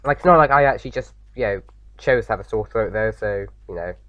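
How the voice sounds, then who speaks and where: A man with a slight sore throat, slightly croaky, chatting casually as he hosts a game playthrough, rambling with lots of fillers. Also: lowish voice, quick pace, fairly bright tone, no echo. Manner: rambling, many fillers and restarts. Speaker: gaming video host. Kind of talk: casual let's-play commentary.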